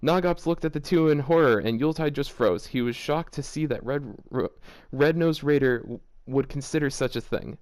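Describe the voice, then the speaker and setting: A man's voice: medium pitch, a little mellow, no room sound. Awkward man reading aloud, dry sound. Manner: awkward and halting, with frequent "like" fillers. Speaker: channel host reading fan fiction aloud. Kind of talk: casual reading with reactions. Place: home shared with several people.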